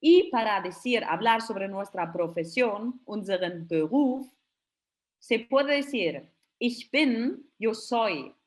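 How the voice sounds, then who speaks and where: A woman teaches a beginners' webinar at an unhurried pace. She explains patiently and pronounces each example phrase slowly and carefully, in a mid-range voice. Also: medium pitch, animated. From Germany. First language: German. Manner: slow, careful demonstrations of German phrases between Spanish explanations. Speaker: German teacher.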